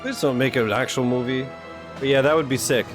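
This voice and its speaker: A man's voice: medium pitch, brisk. Guy streamer chatting casually, jokes n swears, bit of music behind him. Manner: irreverent, joking, swears casually. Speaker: streamer reacting to video game cutscenes. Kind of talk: casual chat. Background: video game cutscene audio and music.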